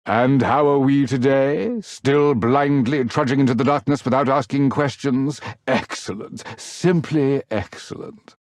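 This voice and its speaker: A sly gossip of a trader, courteous on the surface and insinuating underneath. He takes his time over florid phrases, his pitch swooping freely, recorded close and dry for a video game. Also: darkish tone, no echo. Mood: oily, courteous. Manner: florid, insinuating, mock-polite. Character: smooth-talking, sly, ingratiating male rogue trader and gossip. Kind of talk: scripted video game character dialogue.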